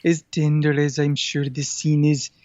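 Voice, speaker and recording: A man plays a prissy, vain little cat in a comic audio drama. The voice sits a bit high with restrained melody, on a slightly dull recording. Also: level tone, a little slow, a little mellow, dry recording, lightly acted. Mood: impatient. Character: fastidious, vain small talking kitten. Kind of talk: audio comedy drama acting.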